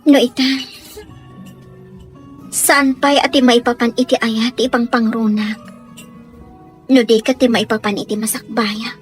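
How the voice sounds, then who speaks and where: A woman's voice: fairly high pitch, steady tone, unhurried, long pauses, soft background music, lightly acted. A woman playing a humble, hesitant young working woman in love, in an Ilocano radio drama, with an Ilocano accent. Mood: sad, hesitant.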